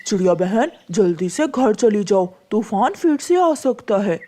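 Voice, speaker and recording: A woman's voice: medium pitch, sing-song, unhurried, dark tone, slight background noise. A woman voicing a helpful, concerned male pigeon in a cartoon, earnest and full of advice.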